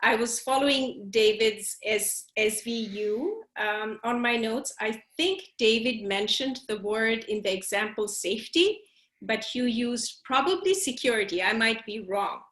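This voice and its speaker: A woman's voice: on the high side, a little slow, a bit bright. Hesitant woman, echoey call. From Bangladesh. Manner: polite, hesitant, deferential. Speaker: trainee interpreter. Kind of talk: giving peer feedback in a class.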